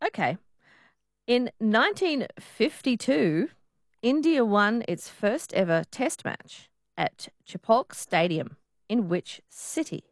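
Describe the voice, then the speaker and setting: Woman, radio quiz host, dry close studio sound, warm banter with callers. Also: a little high, big pitch swings, slow, dry recording. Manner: laughing often, friendly banter with callers.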